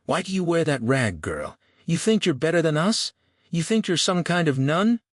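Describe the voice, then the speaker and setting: Man voicing a jeering, high-pitched cruel saloon boss. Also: very animated, normal speed, dry recording, studio quality. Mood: cruel taunting. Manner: taunting, shouting, hissing. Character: cruel, sneering, fleshy male saloon owner in the Old West.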